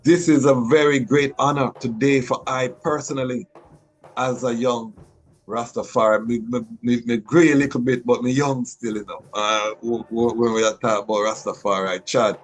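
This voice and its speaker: A man's voice: mid-range voice, fairly even intonation, moderate pace, poor audio. A middle-aged man hosting a podcast interview, speaking with an emphatic rhythm and frequent restarts. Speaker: podcast host.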